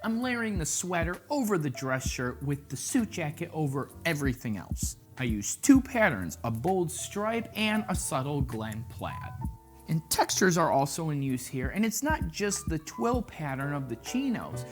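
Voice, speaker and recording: A man's voice: on the high side, sing-song, normal speed, music in the background. A man presenting a casual fashion vlog, chatty and playful, with jokey asides. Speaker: fashion vlogger. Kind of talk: casual style-tips vlog.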